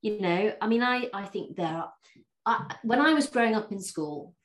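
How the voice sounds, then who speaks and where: A woman's voice: medium pitch, lively intonation, moderate pace, echoey room. A woman hosting an interview podcast, chatty and talking with lots of fillers and restarts. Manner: chatty, warm laughs, many fillers and restarts. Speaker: podcast host. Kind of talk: interview conversation.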